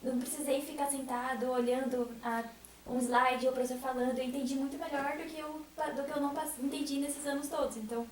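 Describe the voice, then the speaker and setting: A woman's voice: high-pitched, steady tone, quick pace. A woman with a Brazilian accent telling a casual anecdote in a group chat. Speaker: theatre troupe member. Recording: very echoey room, distant mic, faint noise.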